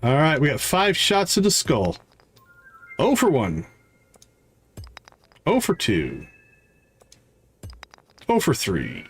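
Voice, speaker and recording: A man's voice: on the high side, wildly swooping pitch, takes it slowly, pauses often, breathy edge. A man hosting a game livestream, thinking aloud in casual, chatty commentary as he plays. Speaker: livestream host playing a video game. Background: game music.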